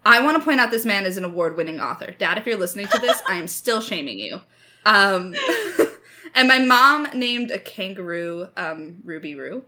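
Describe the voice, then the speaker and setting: Young woman, bright voice, casual and enthusiastic, candid, writer discussing her work. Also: medium pitch, sing-song, moderate pace. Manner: candid, enthusiastic, laughs easily. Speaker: audio drama writer in a creator Q&A. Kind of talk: casual chat.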